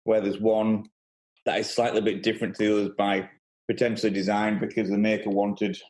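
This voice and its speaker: A man's voice: on the low side, level tone, a little fast, darkish tone, low-quality recording. A man chatting informally as a guest on a podcast. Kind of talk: casual chat.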